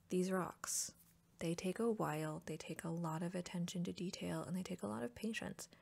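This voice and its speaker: Soft-spoken scratchy woman, half whispering. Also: fairly low pitch, creaky. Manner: relaxed and chatty, with soft laughs. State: scratchy voice, recovering from a cough. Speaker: ASMR artist painting while chatting. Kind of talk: soft-spoken ASMR art chat, sliding into whispers.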